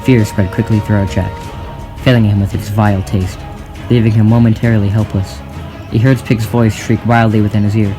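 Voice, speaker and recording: A man's voice: lowish voice, little pitch movement, brisk. A man narrating a scripted story in a dramatic style, with music behind his voice. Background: clear music.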